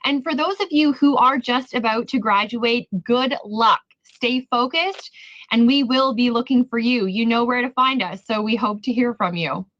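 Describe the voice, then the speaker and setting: A woman's voice: a little high, normal speed, fairly bright tone. A woman hosting an event, upbeat and welcoming, reading a scripted handover. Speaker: event host. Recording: dull lo-fi audio.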